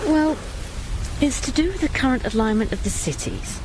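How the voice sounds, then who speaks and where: An actress playing a middle-aged mother in a radio drama, dry and sardonic, knowing and brisk but loving. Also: high voice, sing-song, background noise.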